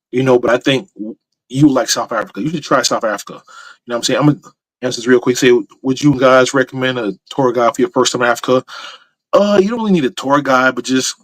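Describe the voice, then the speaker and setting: A man's voice: medium pitch, dry recording, rough recording. A man hosting a casual travel call-in show, talking loosely with lots of slang and filler phrases. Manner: loose, slangy talk with frequent "bro" and "you know what I'm saying".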